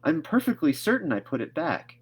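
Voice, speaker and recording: A man's voice: fairly high pitch, big pitch swings, brisk, breathy edge, pitched above the performer's own voice. A man voicing an earnest, imaginative young girl in a storybook, with a wordy, dramatic manner. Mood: earnest, insistent. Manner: effusive, wordy, hesitant when questioned.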